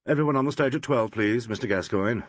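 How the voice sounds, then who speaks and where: A man voicing a shaken theatre manager who speaks quietly with clipped authority. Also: mid-range voice, quick pace, dry recording, natural voice.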